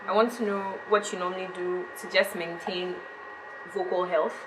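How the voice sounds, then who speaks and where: A woman asking a singer a two-part question, direct and quick. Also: lowish voice, fairly even intonation, noisy background. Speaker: interviewer, team member.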